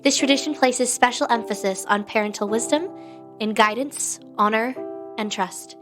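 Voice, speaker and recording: Woman acting a composed, gracious leader, higher voice. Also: faint music behind, natural voice. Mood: solemn. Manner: measured, gently formal. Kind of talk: audio drama acting.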